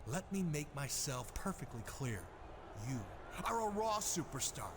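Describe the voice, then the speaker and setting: A smug wrestling boss for a game cutscene. His high voice swoops with emphatic condescension. There is clear noise behind him. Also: very animated, unhurried. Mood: stern, condescending. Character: smug, bullying male wrestling general manager. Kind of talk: scripted video game cutscene acting.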